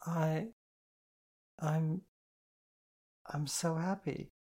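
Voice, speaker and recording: A reader voicing a tipsy, tearful young woman who speaks in slow, halting, soft phrases and stumbles over her words. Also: medium pitch, creaky, pitched above the performer's own voice. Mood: shy, tipsy. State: drunk and weepy.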